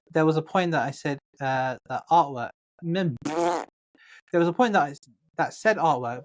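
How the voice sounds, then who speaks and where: A man co-hosting a casual podcast, reading posts aloud and joking around with a sweary, laid-back manner. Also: medium pitch. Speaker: podcast co-host.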